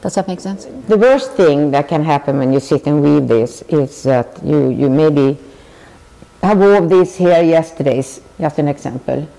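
An older woman from Sweden with a Swedish accent, speaking thoughtfully with halting restarts as she answers questions in an interview. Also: sits low, vocal fry, dark tone, in a large hall, low-quality recording. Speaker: tapestry artist. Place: large weaving studio with looms.

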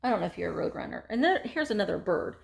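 A woman's voice: on the low side, big pitch swings, racing, gravelly. A woman in her forties chatting casually through a vintage haul video, rambling with fillers and self-corrections, filmed on a close phone camera inside an antique shop. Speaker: vintage reseller and vlogger. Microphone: phone camera held close.

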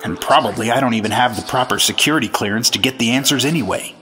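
A man's voice: medium pitch, fast, music in the background, lightly acted. An actor playing an earnest, dogged 1950s male FBI agent, narrating his case dramatically in an old-time radio detective drama. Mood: brooding, suspenseful.

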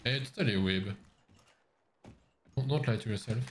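A man playing a game with a friend on stream, teasing him with quick jabs in casual chat. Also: fairly low pitch, a little hiss or hum. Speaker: stream co-player.

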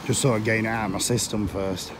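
Hoarse middle-aged male vlogger, low-voiced, chatty and rambling, phone camera outdoors. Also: fairly even intonation, fast, noisy background. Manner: rambling, chatty, with frequent ums. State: hoarse, losing his voice from a cold. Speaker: vlogger touring filming locations. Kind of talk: casual walking tour chat. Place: outdoors on small town streets.

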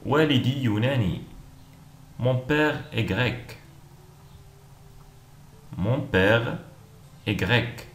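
A man teaching language, reading each short phrase with clear, deliberate pronunciation and repeating it several times. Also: mid-range voice, animated, fairly bright tone. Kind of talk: slow repeated phrase drills. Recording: echoey room with faint noise.

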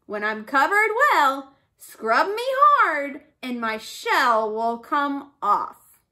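A sly and cheerful storybook turtle, as a woman storyteller puts her on, calls out eagerly. The voice is high, with words stretched out slowly as she gives instructions. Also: very animated, bright, forceful tone, heavily acted. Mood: sly, coaxing.

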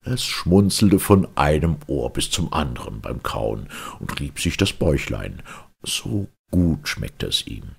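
A male audiobook reader tells a fairy tale with great theatrical expression. His voice is extremely low and very husky, with a gravelly creak. The delivery is animated and storybook-like. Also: unhurried.

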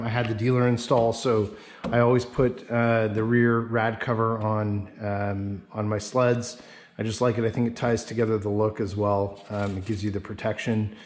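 A man talks to a handheld camera in a home garage. His delivery is informal and enthusiastic, with halting, unscripted phrasing. His pitch sits in an average male range. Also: flat delivery. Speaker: snowmobile vlogger. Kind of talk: casual walkaround tour to camera. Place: residential garage with concrete floor.

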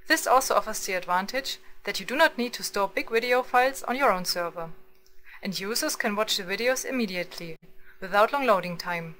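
A woman reading scripted screencast narration as a tutorial narrator, in a measured, instructional manner. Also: mid-range voice, lively intonation, fairly bright tone, slight background noise.

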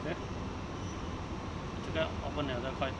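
A man's voice: on the high side, steady tone, fairly quick. A young man, demonstrating an air conditioner repair outdoors in short clipped phrases with many fillers. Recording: loud outdoor machine noise.

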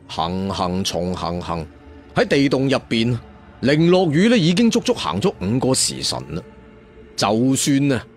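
Male storyteller for serialized fiction: slightly rough voice, animated delivery, colloquial and dramatic. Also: mid-range voice. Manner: colloquial, addresses listeners with rhetorical questions. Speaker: audiobook storyteller. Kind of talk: dramatic traditional storytelling narration.

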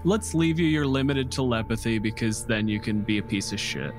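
A man's voice: mid-range voice, expressive, brisk, music in the background. A man narrating a tabletop game as game master, improvising informal descriptions with the odd swear word.

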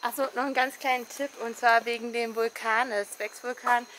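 Bright young woman on a forest walk. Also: on the high side, level tone, a little fast, slight background noise, poor audio. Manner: friendly, adding tips. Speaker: travel vlogger. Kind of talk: casual vlog chat. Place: outdoors in a rainforest park. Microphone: partner's camera at arm's length.

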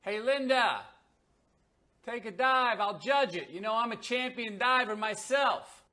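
Bright, high-voiced boastful teen boy. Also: unhurried, heavily acted. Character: boastful teenage boy showing off. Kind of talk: table-read acting.

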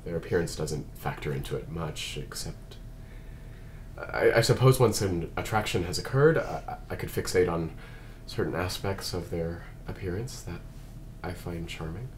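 Man reading as a deep, formal android partner. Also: lively intonation. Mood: earnest, hesitant. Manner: measured, formal, articulate. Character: formal, precise male android detective partner, reserved. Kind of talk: script read-through of a sci-fi drama. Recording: background noise of a group room.

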